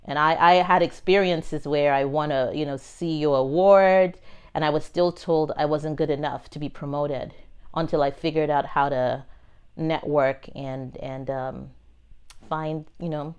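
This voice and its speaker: Low-voiced Nigerian-accented woman, smooth tone. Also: steady tone, unhurried. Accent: Nigerian, with American and British touches. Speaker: pharma executive guest. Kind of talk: reflective interview answers.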